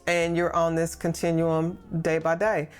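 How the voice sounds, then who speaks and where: Low-voiced woman host prompting a guest. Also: monotone, a little slow, clear, resonant tone. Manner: encouraging, prompting with questions and mm-hmms. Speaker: podcast host. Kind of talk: interviewing.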